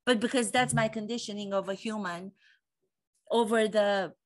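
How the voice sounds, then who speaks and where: A woman's voice: medium pitch, steady tone, normal speed. A woman in her forties with a Romanian accent, an acting coach giving a fervent monologue full of rhetorical questions and repeated phrases. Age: 40s. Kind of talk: impassioned monologue to camera.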